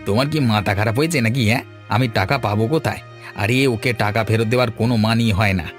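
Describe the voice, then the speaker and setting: A man's voice: lowish voice, fast, faint music behind, lightly acted. A man voicing a shifty, irritable married villager in a cartoon, blustering and dismissive. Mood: evasive, scheming. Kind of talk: cartoon dialogue acting.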